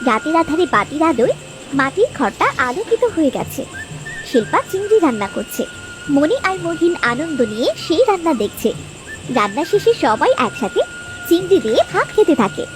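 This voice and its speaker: A woman narrator reading scripted storytelling narration for a children's cartoon. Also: extremely high pitch.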